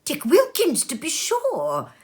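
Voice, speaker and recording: An old miser stirred by memories, played by a woman reading a storybook. He exclaims and stammers over repeated words, with pitch that rises and falls dramatically. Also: wildly swooping pitch, slow pace, darkish tone, exaggerated voice. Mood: wistful, excited. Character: old miser man, emotional, moved by his memories.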